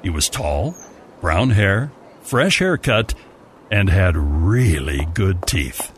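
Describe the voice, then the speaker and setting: A man's voice: low-pitched, big pitch swings, slow, background noise. A man narrating a scripted holiday story as a storyteller. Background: music.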